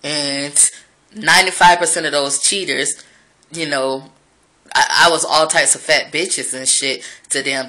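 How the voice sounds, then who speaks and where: A woman's voice: sits low, sharp tone, poor audio. Frank, profane woman in her thirties. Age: 30s. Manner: frank, profane, reflective, talking straight to the camera. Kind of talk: candid vlog monologue to viewers.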